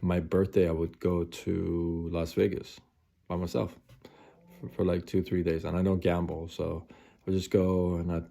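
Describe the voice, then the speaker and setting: A man's voice: sits low, level tone, normal speed, gravelly, dark tone. A man in his forties, hosting a live stream, talking casually and rambling late at night with many fillers and repeats. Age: 40s.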